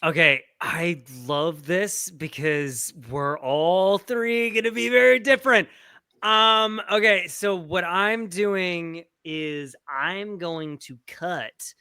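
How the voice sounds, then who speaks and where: A man with a high, bright voice chats casually as a sports podcast co-host. He speaks at an unhurried pace, and the sound is clean and dry. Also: clean tone, dry recording.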